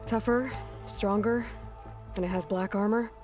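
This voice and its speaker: A woman's voice: mid-range voice, fairly even intonation, measured pace, over music, lightly acted. Woman playing guarded, matter-of-fact hunter heroine. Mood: resolute. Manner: dry, understated, matter-of-fact. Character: guarded, blunt, self-reliant young female machine hunter. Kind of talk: naturalistic video game acting.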